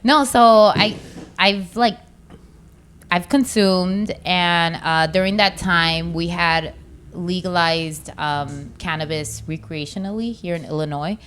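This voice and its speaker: A woman's voice: lowish voice, a bit bright, a little hiss or hum. A woman in her thirties from Chicago, born in Mexico, talking casually and informally as an interview guest. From born in Mexico, raised in Chicago. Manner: slangy, informal, quick to laugh. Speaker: interview guest, business owner. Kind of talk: casual chat.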